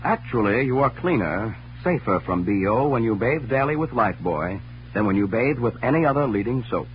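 A man's voice: medium pitch, animated, fairly quick. A man reading a scripted testimonial in a 1940s radio advertisement style. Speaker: commercial voice. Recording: muffled, noisy old radio recording with hum.

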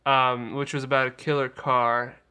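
Clear, bright-voiced man chatting about films. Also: medium pitch, flat delivery, normal speed. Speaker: podcast co-host, film reviewer. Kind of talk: casual movie chat.

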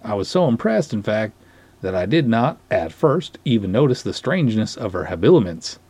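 Man playing pompous, lovestruck lake cop, husky. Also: lowish voice, big pitch swings, a little mellow, full character voice. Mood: defensive. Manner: pompous, florid, deliberate storytelling. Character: verbose, lovestruck, dignified male lake patrol cop. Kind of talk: dramatic short-story reading.

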